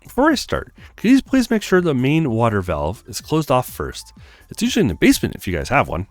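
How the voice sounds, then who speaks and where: A man's voice: mid-range voice, wildly swooping pitch, a little fast, no echo, soft background music. A man voicing a courteous, easygoing handywoman in her twenties for a visual novel game. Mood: polite. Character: friendly, polite young handywoman of twenty-four.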